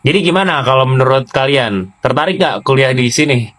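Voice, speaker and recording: A man's voice: mid-range voice, lively intonation, fairly quick, fairly bright tone. A young man from Indonesia, chatting casually to viewers as a student creator. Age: young adult. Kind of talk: casual slangy chat to camera.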